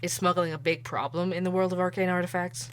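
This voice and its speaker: Woman doing a stubborn guy investigator, real low voice, blunt, faint hiss behind. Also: steady tone, fast, lightly acted. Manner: blunt, earnest questioning. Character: male podcast investigator, dogged and opinionated. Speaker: podcast host. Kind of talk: audio drama acting.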